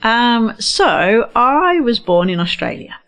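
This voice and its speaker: A woman's voice: on the high side, sing-song, slow pace, a bit bright. A middle-aged woman with a slight English accent, a radio interview guest in a studio, speaking candidly and reflectively with many hesitations. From England. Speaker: guest, neurodiversity educator. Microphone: studio microphone.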